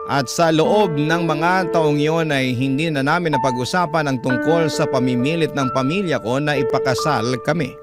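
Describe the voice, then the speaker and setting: A man giving scripted first-person narration in a confessional, wistful manner, in a classic radio-drama style. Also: fairly high pitch. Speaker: letter narrator. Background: loud background music.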